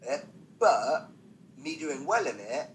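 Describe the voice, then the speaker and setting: A man's voice: fairly high pitch, sing-song, takes it slowly. A man speaking as a podcast guest, a stand-up comedian telling anecdotes conversationally with self-deprecating, wry asides.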